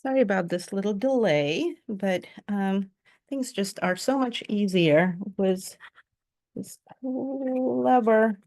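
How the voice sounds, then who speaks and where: A woman presents to her reading group over a video call, chatty and eager. She talks in an easy conversational way, often pausing on ums and letting small laughs slip in. Her voice sits at an ordinary middle pitch for a woman. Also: a little mellow. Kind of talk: casual Zoom presentation.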